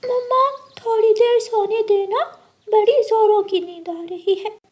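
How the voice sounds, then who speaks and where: Tiny cartoon boy bird played by a woman, very high pitch, drowsy, whiny, childlike pleading. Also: fairly even intonation, a little slow, darkish tone. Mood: sleepy, whiny. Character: small sleepy little boy bird, pleading.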